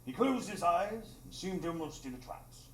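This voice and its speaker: A man playing an earnest gentleman narrator, telling the story in a literary manner in a live radio drama. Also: sits high, animated, normal speed. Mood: reflective, dramatic. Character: earnest gentlemanly male doctor-narrator recounting adventures. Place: small improv theatre stage. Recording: roomy, noisy live stage recording.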